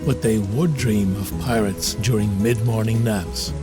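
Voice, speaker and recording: A man narrating a scripted comic adventure as a storybook narrator, in a measured, wry way. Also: on the low side, fairly even intonation, music in the background, natural voice.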